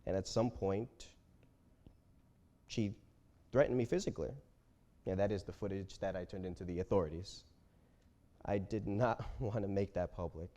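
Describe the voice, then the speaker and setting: A man in a staged reading playing an embattled company boss who feigns wounded dignity, speaking haltingly and solemnly. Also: sits low, warm tone, close to an everyday voice. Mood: pained, halting. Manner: halting, self-pitying, solemn denials. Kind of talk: staged play reading of a TV interview.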